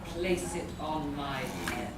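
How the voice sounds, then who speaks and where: A woman playing a grandiose, merciless gargoyle sorceress villain in a live radio play, speaking in a commanding, deliberate way. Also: deep voice, big pitch swings, full character voice. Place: live convention stage reading in a large room. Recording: echoey noisy hall, recorded from far off.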